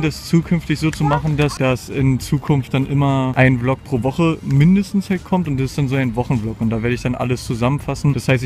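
A man's voice: medium pitch, darkish tone. A young man from Germany, chatting casually and slangily to a phone camera held at arm's length, first outdoors at a playground, then in a bedroom at home. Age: young adult. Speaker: vlogger, au pair. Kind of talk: casual vlog chat.

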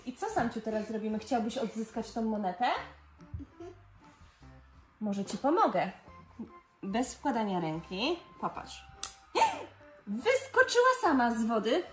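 Young lady showing little kids an experiment, slow n encouraging, roomy sound with music behind. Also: fairly high pitch, very animated. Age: 20s. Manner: encouraging, simple instructions and questions. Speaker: presenter of a children's experiment. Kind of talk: guiding small children through a demonstration. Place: living room indoors. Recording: echoey living room, music behind.

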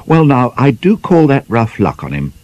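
A man's voice: medium pitch, huge pitch swings, moderate pace, a little mellow, highs cut off, voice pushed up. A man doing a teasing, mocking nineteen-year-old girl. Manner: gleeful, mocking, needling. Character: teasing mischievous young woman of nineteen.

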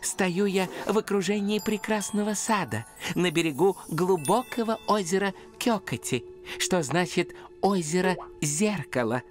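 A woman voicing a serene, solemn old Japanese temple in a children's cartoon, speaking in a measured, contemplative way. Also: fairly low pitch, expressive. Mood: serene, poetic. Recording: music behind the voice.

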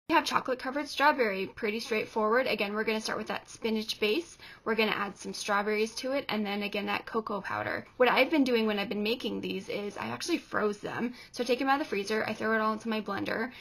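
A woman's voice: a little high, fairly bright tone, husky. A woman in her thirties giving plain, step-by-step instructions in a casual kitchen demonstration, filmed in a home kitchen with a camera on the counter. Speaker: co-host. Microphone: camera at counter distance.

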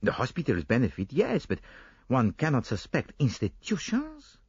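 A man voicing an older, fussy and excitable Belgian detective with a pompous, theatrical manner. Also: mid-range voice, moderate pace, husky, dry recording, muffled recording, pitched above the performer's own voice, exaggerated voice. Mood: self-assured.

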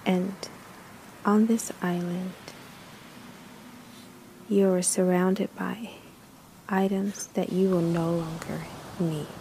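Female meditation guide, hushed and soothing, gravelly creak in the voice, unhurried pauses, noticeable background hiss. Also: on the low side, little pitch movement, poor audio. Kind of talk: slow guided meditation narration.

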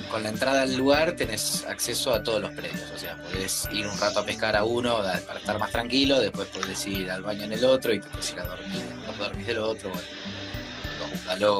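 A man's voice: lowish voice, fairly quick. A man in his thirties with an Argentine accent, explaining things in casual chat on a video call. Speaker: guest camping video creator. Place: indoor room, video call. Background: music.

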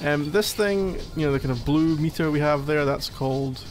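A man's voice: fairly high pitch, moderate pace, close to an everyday voice. A man playing a needy, playfully exasperated gamer boyfriend in a casual, intimate ASMR roleplay, teasing as he talks. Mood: explaining patiently. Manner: teasing, mock-exasperated, conversational.

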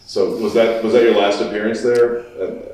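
Low-voiced male interviewer, distant and very echoey. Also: steady tone, normal speed, mellow. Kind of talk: casual interview questioning.

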